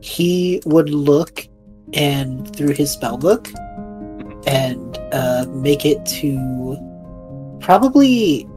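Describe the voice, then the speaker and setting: A woman with a low voice describes what her character does in a tabletop game. Her delivery is casual, with faint music behind. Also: expressive, very slow, mellow. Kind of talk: casual narration of character's actions.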